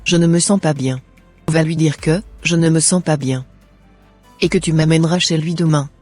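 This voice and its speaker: Man voicing a husky, anxious, pleading wife. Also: a little high, steady tone, moderate pace, a little mellow, soft background music, close to an everyday voice. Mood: distressed. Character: troubled, pleading young wife, anxious and submissive.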